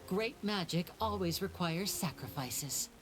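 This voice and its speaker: Low-voiced, composed young magician. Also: female voice, expressive. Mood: measured, dramatic. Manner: measured, theatrical, deliberate. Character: composed, shrewd young magician explaining a mystery. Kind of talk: dramatic anime game monologue. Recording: faint background noise under game audio.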